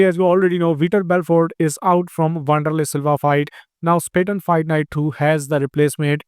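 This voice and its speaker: A man's voice: a little high, darkish tone, clean tone, dry recording, studio quality. A man with an Indian accent hosting a combat sports news roundup, talking in long run-on sentences with frequent "uh" fillers. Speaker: host.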